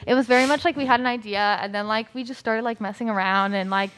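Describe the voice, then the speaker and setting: A young woman answers interview questions as a student guest. Her voice is fairly high and her talk is informal and rambling. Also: steady tone, fast talker, a bit bright, clear, resonant tone. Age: young adult.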